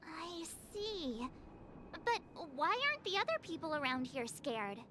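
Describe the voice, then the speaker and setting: A woman voicing a bubbly, plucky teenage girl adventurer in an anime-style video game, perky and expressive. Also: very high-pitched, a little slow, very noisy, heavily acted. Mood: curious.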